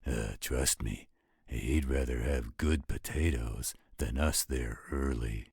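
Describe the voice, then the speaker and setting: Man playing a very deep-voiced, patient partner. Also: takes it slowly, a little mellow, breathy edge, dry recording, clean studio sound, exaggerated voice. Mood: coaxing, insistent. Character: easygoing male partner soothing his mate, potato-loving. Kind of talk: scripted roleplay audio drama.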